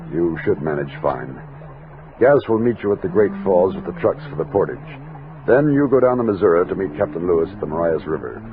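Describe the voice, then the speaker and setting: An American actor playing a steady, commanding army captain of a frontier expedition in an old-time radio drama. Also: male voice, low voice, a little fast, narrow, tinny audio. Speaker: lead actor and narrator. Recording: thin, noisy vintage radio recording.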